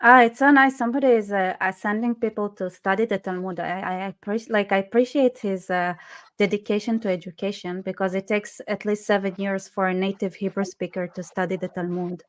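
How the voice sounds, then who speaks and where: A woman's voice: mid-range voice, steady tone, a little fast. A woman joining a live online chat as a guest, talking sarcastically and peppering her speech with "like". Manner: sarcastic, many "like" fillers. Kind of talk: casual live-stream chat. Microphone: online call.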